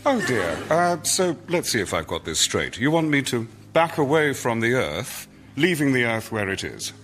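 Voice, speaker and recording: An actor voicing the Sun in a radio comedy sketch, politely incredulous and deliberate in manner. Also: male voice, mid-range voice, sing-song, a bit bright, soft background music. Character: the Sun as a patient, politely incredulous older-brother figure.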